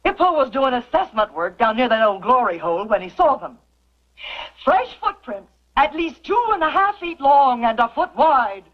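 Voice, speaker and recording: A woman's voice: sits high, sing-song, moderate pace. A woman acting in an old-time radio western as a gossipy camp wife, theatrical and awestruck. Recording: muffled old radio recording.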